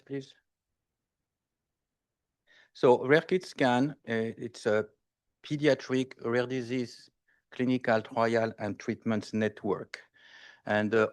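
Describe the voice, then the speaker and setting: Un conférencier d'âge moyen, francophone, parle d'une voix plutôt grave. Il hésite souvent et mélange le français et l'anglais. On l'entend au micro dans une salle de congrès. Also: male voice, lowish voice. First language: French. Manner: hesitant, many fillers, switches between French and English. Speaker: invited speaker, pediatrics professor. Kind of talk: conference presentation. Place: conference hall.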